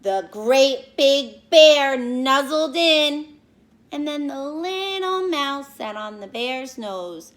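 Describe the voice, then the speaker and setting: A woman teacher doing an expressive read-aloud for young children, speaking to them directly and explaining as she goes. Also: sits high, slow. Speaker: elementary school teacher reading aloud to pupils.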